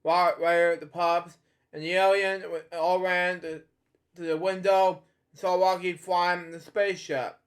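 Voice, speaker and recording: Man, high voice, reading a children's book, stumbling restarts, chatty asides. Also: level tone. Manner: halting, with frequent restarts and filler comments. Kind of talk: storybook reading with rambling asides.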